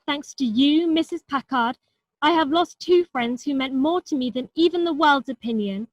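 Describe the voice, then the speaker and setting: A woman's voice: high voice, moderate pace, muffled recording, lightly acted. A young woman acting a proud, dramatic society lady wronged by gossip in a stage play, earnest and emphatic. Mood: defiant. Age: young adult.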